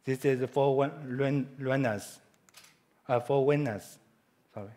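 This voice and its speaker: A man with a Chinese accent, a native Chinese speaker, giving a conference presentation in halting English with frequent restarts and self-corrections. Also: mid-range voice, mellow.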